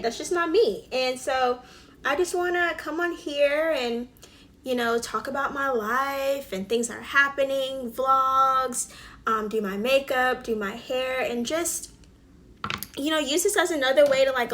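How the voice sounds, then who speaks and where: A woman's voice: sits high, a bit bright, a little hiss or hum. A woman, chatting casually while doing her makeup, with lots of fillers and the odd whispered aside. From Alabama. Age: 20s. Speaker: beauty vlogger. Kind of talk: casual get-ready-with-me chat.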